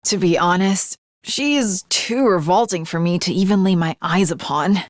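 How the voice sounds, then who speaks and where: A woman's voice: on the low side, moderate pace, no room sound, studio quality, natural voice. A woman playing an earnest, haughty young female samurai in an anime video game, with formal, archaic, melodramatic diction. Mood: stern, resolute. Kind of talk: dramatic anime game character acting.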